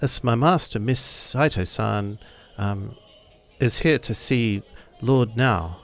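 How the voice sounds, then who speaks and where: A man playing a tabletop role-playing game, haltingly narrating and speaking for characters as he searches for words. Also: lowish voice, soft background music. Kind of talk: improvised role-play narration.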